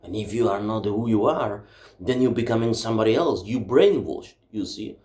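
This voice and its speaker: A man's voice: fairly low pitch, animated, normal speed, a little mellow. A man with a Czech accent, a native Czech speaker, delivering a blunt, emphatic and profane ranting monologue from his home library. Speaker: book vlogger. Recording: roomy echo.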